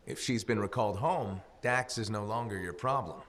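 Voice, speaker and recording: A man's voice: fairly low pitch, moderate pace, fairly bright tone, close to an everyday voice. An actor playing a young male freelancer in a video game, talking with dry, sarcastic humor. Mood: wry. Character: wry male freelancer pilot, young adult. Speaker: freelancer, player character.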